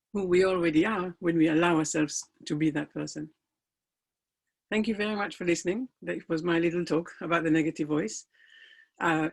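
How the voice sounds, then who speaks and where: A man's voice: sits high, gravelly, slightly rough. A middle-aged man, a life coach whose first language is not English, telling his personal story in an earnest, motivational talk with emphatic rises.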